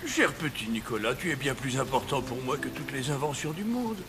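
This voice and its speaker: A man playing a kindly, excitable old Santa Claus in a children's cartoon, with a theatrical, fatherly manner. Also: medium pitch, brisk, lightly acted. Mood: tender, musing.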